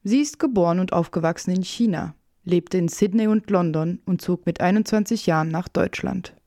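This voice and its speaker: A woman's voice: on the low side, moderate pace, dark tone, dry recording, studio quality. A woman reporter reading scripted narration for a radio feature, with music behind her voice.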